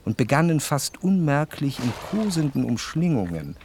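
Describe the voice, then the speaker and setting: Reflective literary narrator, faint noise. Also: male voice, a little high, very animated, moderate pace, darkish tone. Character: reflective, refined Frenchman recalling his past in society. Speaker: first-person narrator of a radio drama. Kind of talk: literary scripted narration in a radio play.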